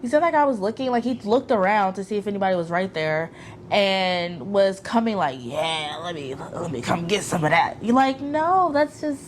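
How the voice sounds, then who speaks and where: A woman's voice: medium pitch, animated, normal speed. Blunt, emphatic woman co-host talking casually over a noisy recording. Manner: blunt and emphatic, swears freely. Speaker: podcast co-host. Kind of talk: casual chat.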